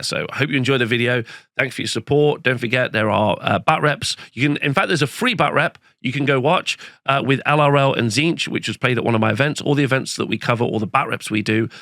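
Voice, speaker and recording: A man hosting a casual rundown of game results, his voice croaky as it comes back, rambling with fillers. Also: medium pitch, fairly bright tone, no echo, clean studio sound. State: croaky, recovering lost voice. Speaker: host. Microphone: home studio microphone.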